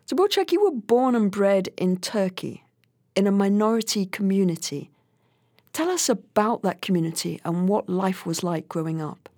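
A woman's voice: mid-range voice, animated, moderate pace, dark tone, no echo. Woman podcast host, dry close mic, short plain questions. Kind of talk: podcast interview.

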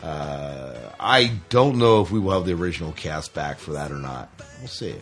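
A man's voice: a bass voice, expressive, normal speed, fairly bright tone, soft background music. A man co-hosting a podcast, talking casually through entertainment news in a chatty, opinionated way. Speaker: podcast co-host.

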